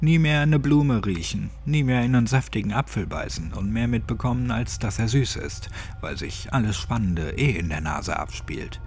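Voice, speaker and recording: A man's voice: medium pitch, expressive, normal speed, hardly any pauses, darkish tone, slight background noise, close to an everyday voice. A man voicing an elderly, quirky retired gardener in a horror audiobook, chatty, colloquial and grimly cheerful. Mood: wry, rambling. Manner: folksy, chatty, colloquial rambling.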